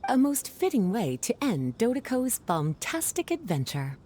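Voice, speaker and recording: An actress voicing a kindly, poised adult woman in a video game, coaxing and gently teasing in an indulgent, unhurried manner. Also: a little high, very animated, a little hiss or hum. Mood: storytelling. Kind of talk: animated video game voice acting.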